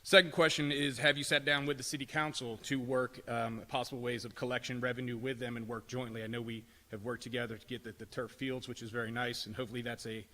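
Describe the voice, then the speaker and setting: A man asks his questions at a school board podium in a polite, hesitant way, leaning on fillers. His delivery is flat and monotone, and his tone is bright and somewhat tense. The meeting hall adds a long reverb. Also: mid-range voice, fast talker, few pauses. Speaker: public commenter. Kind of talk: public comment at a board meeting.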